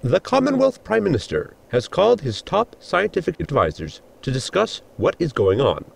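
An actor playing a polite, literal-minded ship's assistant with a gravelly, husky voice, explaining formally in a sci-fi audio comedy. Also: male voice, a little high, wildly swooping pitch, normal speed, slight background noise, full character voice. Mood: formal, matter-of-fact. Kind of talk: sitcom audio drama acting.

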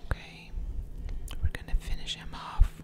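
A woman hosting a gaming ASMR session, whispering softly with mouth sounds as she comments on a card game. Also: moderate pace.

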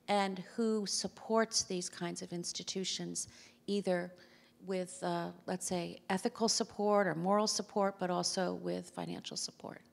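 A woman's voice: medium pitch, vocal fry. A middle-aged woman speaking on a panel to a theater audience through a handheld mic, reflective and thinking aloud as she goes. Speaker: researcher on a panel. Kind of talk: panel talk. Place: theater stage before a seated audience. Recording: long reverb of a theater hall.

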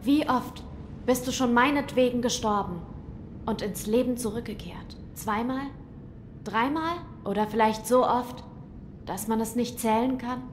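A woman's voice: a little slow, lightly acted. A woman in an audio drama playing an earnest, resolute young noble boy with a grave, formal way of speaking. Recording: long hall reverb, faint rumble.

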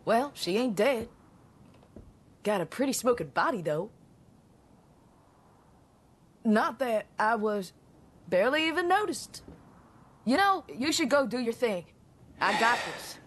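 A woman's voice: a little high, big pitch swings, measured pace, long pauses, slightly rough, heavily acted. A woman voicing a brash, cheeky young female mechanic in a video game cutscene, teasing and pushy. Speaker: game character. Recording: game audio with music underneath.

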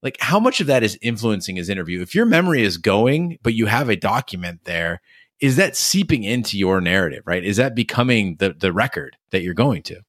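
Low-voiced man analysing television. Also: big pitch swings, brisk, a bit bright, dry recording, studio quality. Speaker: podcast host. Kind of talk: TV recap podcast discussion.

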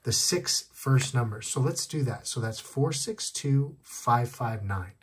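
A man with a slightly husky voice, giving a casual hands-on tech tutorial, explaining step by step and repeating key points. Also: mid-range voice, animated, measured pace, a little hiss or hum. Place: indoors at a wooden desk.

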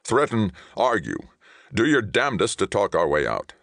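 A man's voice: a bass voice, expressive, moderate pace, vocal fry, dry recording, studio quality, full character voice. A man voicing a hostile, curt government official in command, snapping in audiobook dialogue. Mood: tight, curt.

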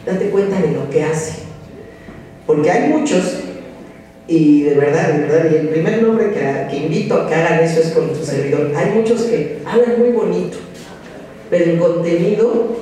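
Mexican male lecturer, 30s, direct and exhorting; warm timbre, very roomy hall sound. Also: high-pitched. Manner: direct, exhorting, addresses students as tú. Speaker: psychology lecturer. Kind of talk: conference talk to students. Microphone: podium microphone. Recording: roomy echoing hall from a distance.

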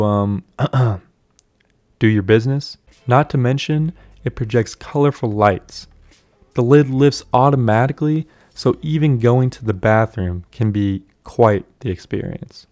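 A man's voice: mid-range voice, dark tone, dry recording. A man reading a scripted voice-over narration for a luxury house tour video, in an upbeat promotional manner.

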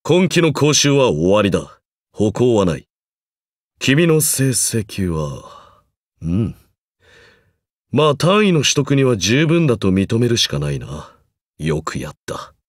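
A man's voice: medium pitch, big pitch swings, slow pace, no room sound, clean studio sound. An actor playing a stern, pompous magecraft professor in a mobile game, speaking formally and condescendingly while grudgingly encouraging his student. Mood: stern, dry. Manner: formal, condescending lecturer's delivery. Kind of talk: scripted game character lines.

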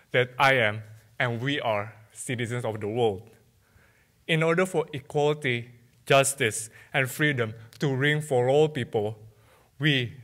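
A young man from Indonesia, a student, giving a measured, emphatic competition speech at a lectern microphone in a large theatre. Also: mid-range voice, a bit bright. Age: young adult. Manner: measured, emphatic oratory with pauses. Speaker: student orator.

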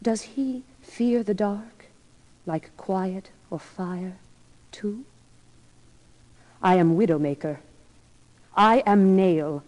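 A woman voicing a slow, brooding living sword. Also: medium pitch, darkish tone, no echo, low-quality recording. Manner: slow, solemn, poetic. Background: music.

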